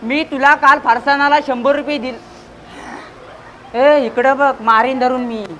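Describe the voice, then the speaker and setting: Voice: high voice, steady tone, measured pace, pushed and bright. A performer playing a bossy, irritable village secretary in a comic stage play, scolding and barking repeatedly. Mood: angry, threatening. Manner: scolding, exasperated, repetitive barking. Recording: noisy live stage recording.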